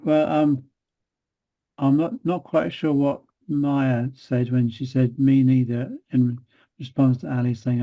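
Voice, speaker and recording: A man hosting an online meetup on a video call, relaxed and chatty as he welcomes people. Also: mid-range voice, rough recording. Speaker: meetup organiser. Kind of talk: casual video call chat.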